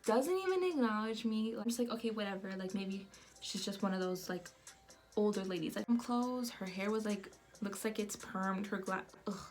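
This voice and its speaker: Young woman doing a storytime vlog, super animated, lots of "like"s, medium pitch. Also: moderate pace, faint music behind. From United States. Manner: animated, expressive storytelling with many fillers. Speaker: vlogger.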